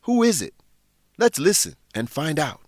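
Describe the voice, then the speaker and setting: A man narrating a scripted radio drama for English learners, with deliberate, presentational phrasing. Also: on the high side, big pitch swings, dry recording, clean studio sound.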